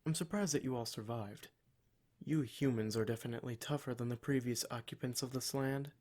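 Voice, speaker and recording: A smug male demon, acted out by a man, addresses his captive listener in an ASMR roleplay. His voice sits at a middling pitch. He speaks in measured, formal phrases, condescending and full of menace. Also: darkish tone, close to an everyday voice. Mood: calm, smug.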